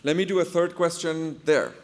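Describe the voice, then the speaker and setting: A man's voice: on the high side, normal speed. A man moderating a panel informally, giving direct instructions to his audience. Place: conference hall with audience. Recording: reverberant hall sound.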